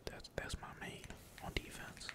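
A gamer speaks entirely in a breathy whisper, giving casual ASMR commentary on their play. The talk is slangy and peppered with swearing, while computer keys clack behind it. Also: normal speed. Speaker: gaming video creator. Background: computer keyboard typing.

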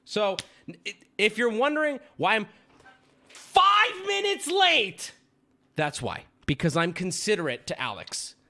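A man's voice: sits high, wildly swooping pitch, unhurried, vocal fry, bright, forceful tone. A man in his thirties hosting a livestream from home, crude and joking with sudden yelps, and sniffly from a stuffy nose. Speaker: streamer host. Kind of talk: casual livestream chat. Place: home streaming room. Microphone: studio mic on boom arm with headphones.

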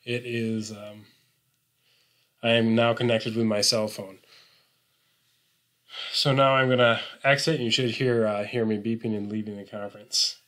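A man presenting an unscripted software tutorial, explaining things informally step by step. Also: lowish voice, steady tone, measured pace, pauses often.

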